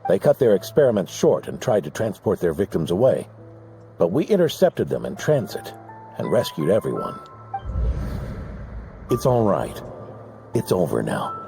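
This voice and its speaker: A low, mellow old knight talks with weary kindness and expressive rise and fall. It is game dialogue with faint music behind. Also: male voice, moderate pace, vocal fry, long pauses, exaggerated voice. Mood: weary, gentle. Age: older. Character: kindly weary grandfatherly veteran knight, regretful and wise. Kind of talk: video game voice acting.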